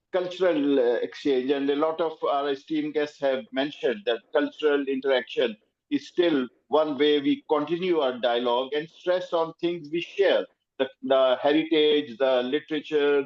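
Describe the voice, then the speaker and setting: A man from Pakistan, a playwright, giving remarks at a panel over a remote connection, speaking hesitantly with many pauses and fillers. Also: a little high, dull audio.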